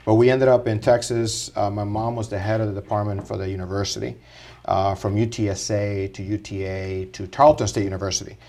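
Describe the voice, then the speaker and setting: A middle-aged man from Puerto Rico talks as a podcast guest, earnest and animated as he recounts his life. His voice sits low for a man. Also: fairly quick. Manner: earnest, animated, rising in energy as he tells his story. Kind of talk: casual interview storytelling.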